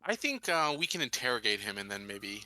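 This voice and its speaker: Guy player chatting about the game, really sharp forceful voice, kinda low, dry. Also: big pitch swings, a little fast, no echo. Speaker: player in a tabletop roleplaying game. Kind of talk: casual game discussion.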